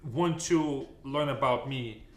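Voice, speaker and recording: A man's voice: mid-range voice, takes it slowly, roomy sound. A man from Russia, co-hosting a casual couple Q&A and earnestly repeating words as he searches for them. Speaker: co-host.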